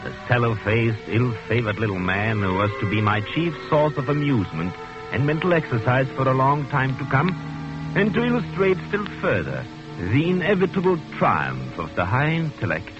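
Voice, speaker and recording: An actor playing an arrogant, intellectual murderer in prison, speaking with pompous, condescending precision in a 1940s radio drama. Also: male voice, medium pitch, big pitch swings, normal speed, close to an everyday voice. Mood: smug, self-admiring. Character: arrogant, self-satisfied murderer convict of high intellect. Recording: dull old radio recording, music behind.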